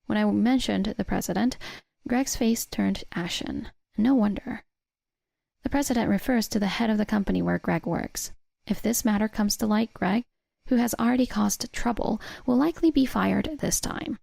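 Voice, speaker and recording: A woman reading a scripted first-person story in a measured way as its narrator. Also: medium pitch, gravelly, warm tone, no echo.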